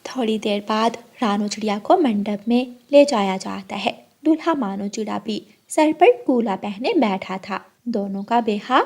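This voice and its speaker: A woman's voice: medium pitch, measured pace, hardly any pauses, a little mellow. A woman narrator reading a scripted cartoon story.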